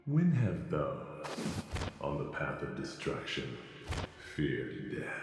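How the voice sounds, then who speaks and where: An actor playing a fearless, ominous warrior of destruction in a game, speaking slowly and grandly. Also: male voice, extremely low pitch, sing-song, full character voice. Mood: defiant. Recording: cavernous echo under loud music.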